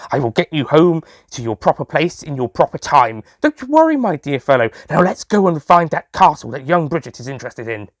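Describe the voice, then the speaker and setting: Fussy, reassuring old male Time Lord for audio drama, avuncular and old-fashioned, very animated delivery, performed by a man. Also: on the high side, fairly quick, few pauses, dry recording. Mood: hearty, reassuring.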